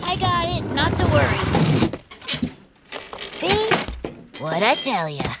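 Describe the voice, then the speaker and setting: Against a noisy background, a chirpy, eccentric best friend chatters away in an audio drama. The voice is high and lively, breezy, folksy and happily oblivious. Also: female voice, slow, full character voice. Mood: busy, upbeat. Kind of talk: audio fiction acting.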